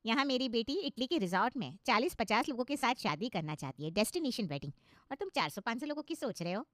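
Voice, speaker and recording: A haughty modern mother of a bride, acted out by a woman in a cartoon moral story. Her voice is high and mocking, sprinkled with English, and recorded very dry. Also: very animated, fast, fairly bright tone, dry recording. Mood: haughty, scornful.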